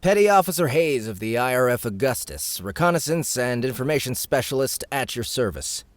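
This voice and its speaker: A male narrator voicing an eager, formal naval petty officer who talks in a brisk, proper, slightly flustered way. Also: mid-range voice, very animated, no room sound, pitched above the performer's own voice, close to an everyday voice. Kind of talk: audiobook character voice.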